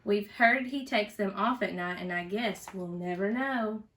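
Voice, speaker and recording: A woman with a bright, forward voice at a middle pitch reads a picture book aloud for children. She is expressive and teacherly, slipping easily between the rhyming text and chatty questions to the child beside her. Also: a little slow. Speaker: parent reading a storybook aloud for a school read-aloud.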